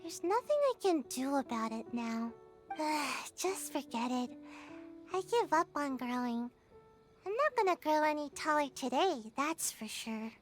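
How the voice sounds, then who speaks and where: Casting: small sulky ninja girl for a game, extremely high childlike voice, dejected and earnest, faint music underneath. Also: big pitch swings, unhurried. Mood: glum. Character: sulky young ninja girl, glum and dramatic about not growing. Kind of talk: video game voice acting.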